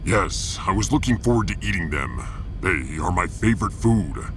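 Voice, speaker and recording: A man's voice: low-pitched, expressive, moderate pace, background noise. A man playing a proud, blunt alien warrior officer in a sci-fi audio drama, speaking with solemn formality. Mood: blunt, earnest. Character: gruff, proud male alien warrior officer, hungry for glory.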